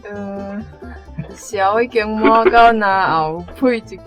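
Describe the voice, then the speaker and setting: Female student contestant, young, mid pitch, music clearly behind her. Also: takes it slowly. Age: young adult.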